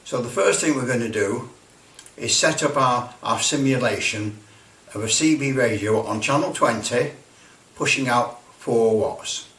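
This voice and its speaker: A man's voice: mid-range voice, unhurried, a bit bright. A man giving an informal step-by-step demonstration, explaining as he goes and often repeating himself. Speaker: presenter of a hobby demonstration. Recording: roomy echo, distant microphone.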